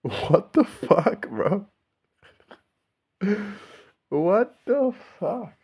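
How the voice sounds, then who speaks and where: A man's voice: high-pitched, big pitch swings, very slow, darkish tone, breathy edge. A man with a Melbourne Australian accent, talking casually straight to his viewers in a laid-back, swearing monologue. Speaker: YouTuber.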